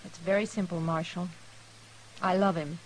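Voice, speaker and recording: A woman's voice: on the low side, steady tone, moderate pace, lightly acted, poor audio. An actress playing an earnest, devoted young frontier woman in an old radio western, pleading her case. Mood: earnest, insistent. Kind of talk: old-time radio western drama acting. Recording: hissy vintage radio recording.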